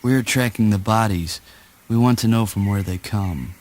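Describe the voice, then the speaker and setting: A woman playing a brisk, commanding parent on a grim quest in a spoken word play, terse and questioning. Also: very deep voice, a little mellow, a little hiss or hum. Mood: probing, grave.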